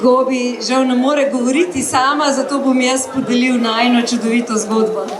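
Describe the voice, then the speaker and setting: Woman interpreter, high voice, echoey hall with a crowd behind. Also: steady tone. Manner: quick, with restarts and fillers while translating. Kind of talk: consecutive interpreting. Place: large hall with an audience.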